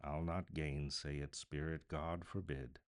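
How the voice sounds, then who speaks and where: A man's voice: very deep voice, fairly even intonation, measured pace, smooth, voice pushed down. A man voicing an emotional old man in a storybook reading, fervent and exclamatory. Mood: remorseful, uneasy.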